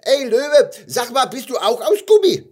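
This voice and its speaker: Tiny high-pitched excitable gummy bear. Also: male voice, a little slow, slightly rough, full character voice. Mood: cheeky curiosity. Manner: stammering, repeating words excitedly.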